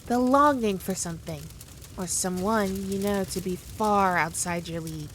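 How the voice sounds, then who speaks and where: A woman playing a powerful demon queen in an ASMR roleplay, condescending, teasing and theatrical, with deliberate, drawn-out phrasing. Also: medium pitch, fairly bright tone, a little hiss or hum, lightly acted. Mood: teasing, superior. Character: powerful demon queen, haughty, teasing, dominant, toying with her foe. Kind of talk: scripted ASMR roleplay addressed to the listener.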